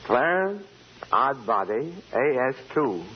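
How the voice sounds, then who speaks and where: An actor playing a gentle, innocent old apprentice angel in a 1940s radio drama, hesitant and quaint in manner. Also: male voice, mid-range voice, sing-song, slow pace. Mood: gently earnest. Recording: dull, hissy 1940s radio recording.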